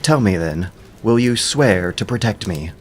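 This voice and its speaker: A man's voice: on the low side, expressive, unhurried, a little hiss or hum. Man acting a wary, solemn ancient seer. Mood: wary, pleading. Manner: anxious, pleading, solemn declamation. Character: wary, wise seer fearing a powerful king. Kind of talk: audio drama epic acting.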